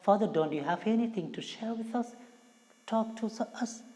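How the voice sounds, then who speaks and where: A woman's voice: mid-range voice, moderate pace, mellow, pitched above the performer's own voice, natural voice. A woman reading the part of an earnest, pressing son who confronts his father in a play. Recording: long hollow room reverb.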